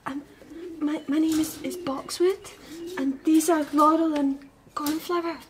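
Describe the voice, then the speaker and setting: Very high-pitched, timid rabbit. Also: female voice, fairly even intonation, measured pace, a crowd in the background. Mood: nervous. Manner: hesitant, stammering. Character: timid, anxious young hutch rabbit, sheltered and bewildered. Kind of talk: radio drama acting.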